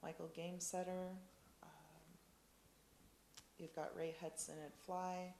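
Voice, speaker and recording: A woman from the United States, chatting casually in an interview, with many ums and lively storytelling. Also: lowish voice, a little slow, echoey room, a little hiss or hum. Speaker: interviewee, rider's partner.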